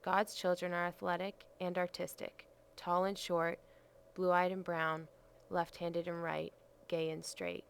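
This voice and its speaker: Female reader, lowish and creaky, even intonation, scripted statement. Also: measured pace. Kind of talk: reading a prepared statement aloud. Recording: faint background noise and ambience.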